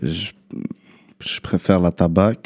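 A young man is answering questions in a relaxed interview. His is Arabic. He speaks haltingly, restarts his phrases and tags sentences with a loose "là". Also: deep voice. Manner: halting, repeats words, trails off with "là". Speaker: guest rapper. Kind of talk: casual interview chat.

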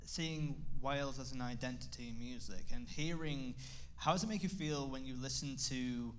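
A man's voice: medium pitch, normal speed, fairly bright tone, a little hiss or hum. A man hosting a music podcast in casual chat, hesitant and giggly, with many fillers and restarts.

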